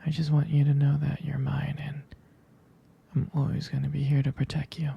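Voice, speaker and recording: A man playing a jealous, devoted boyfriend in an intimate ASMR roleplay, murmuring tenderly and hesitantly in a breathy, husky near-whisper. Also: a little high, monotone, moderate pace, mellow, exaggerated voice. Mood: possessive, devoted. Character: jealous, protective, devoted young boyfriend soothing his partner.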